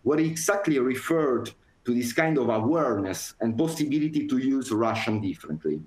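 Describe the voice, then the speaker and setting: A man presenting his book on a video call, speaking in a measured academic way with frequent fillers and restarts. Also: medium pitch. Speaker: book author presenting research. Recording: roomy video call audio.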